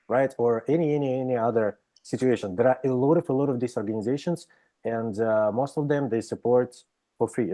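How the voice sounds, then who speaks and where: A man with a Russian accent talks through his ideas as an interview guest, his voice dark and mellow at a middle pitch. He hesitates often and tags his points with "right?" as he goes. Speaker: psychologist guest. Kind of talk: interview conversation.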